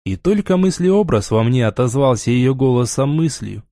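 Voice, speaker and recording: Guy reading a fantasy audiobook, mellow voice, measured and expressive, really dry close sound. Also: medium pitch, dry recording. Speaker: audiobook narrator. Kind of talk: scripted first-person fantasy novel narration.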